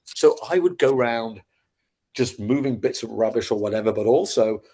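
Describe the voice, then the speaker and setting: A man in his fifties from Scotland with a neutral British accent, telling his career story as a podcast guest in lively, animated chat. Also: mid-range voice, moderate pace. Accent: neutral British, not Scottish-sounding. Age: 50s. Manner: lively storyteller acting out his past self in quotes. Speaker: podcast guest, sales founder.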